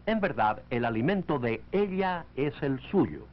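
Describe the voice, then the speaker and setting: A man reading scripted narration for a 1940s educational film, in a measured, didactic way. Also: medium pitch, sing-song. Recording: dull lo-fi 1940s film soundtrack.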